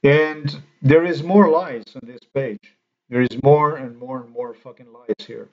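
A man's voice: medium pitch, darkish tone. Indignant man ranting, lo-fi. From Slovenia. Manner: indignant, halting, swears. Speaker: commentator. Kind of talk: ranting screen-recorded commentary.

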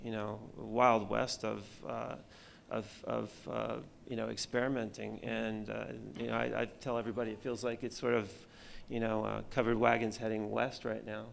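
A middle-aged man speaking on a conference panel as a film director, halting, with many fillers and restarts, into a table mic on stage. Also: fairly low pitch, flat delivery, vocal fry, a little hiss or hum. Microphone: table mic on stand.

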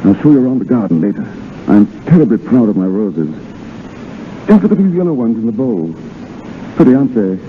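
An actor in a 1930s radio drama playing a reserved war veteran turned writer, halting and evasively cheerful. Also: male voice, fairly low pitch, big pitch swings, slight background noise. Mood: evasive, affable. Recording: muffled old 1930s radio recording, music behind.

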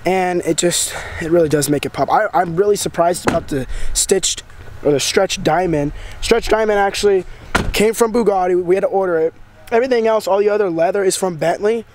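A young man with a hoarse, raspy voice from a cold, excitedly rambling to a handheld camera as a vlogger, outdoors and in a shop. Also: high-pitched, a little fast, slight background noise. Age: young adult. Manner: excited, rambling, lots of fillers. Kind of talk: casual vlog talk to camera. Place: outdoors by a house and inside an auto upholstery shop. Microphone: handheld camera at arm's length.

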